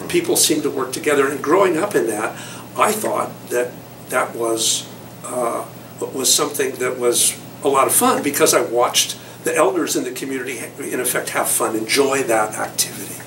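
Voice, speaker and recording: An older man from Southern California reflects on his working life in a slow, rambling way, often pausing on fillers. He sits well back from the mic in an echoey room, with steady noise behind him. His voice sits at an ordinary middle pitch. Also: recorded from a distance. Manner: rambling, thoughtful, many fillers. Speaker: award recipient interviewee. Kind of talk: reflective interview recollection.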